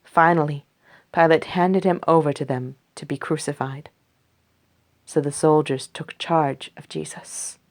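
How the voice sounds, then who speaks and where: A woman reading a scripture story aloud for a church congregation, slow and measured. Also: low voice, fairly even intonation. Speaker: scripture reader. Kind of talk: scripted read-aloud narration.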